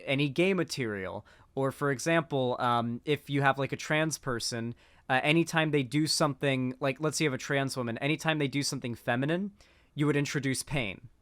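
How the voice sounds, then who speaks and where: A man's voice: on the high side, a bit bright, smooth, no echo, clean studio sound. A man giving casual commentary on clips, rambling with frequent fillers and restarts. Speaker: political commentator.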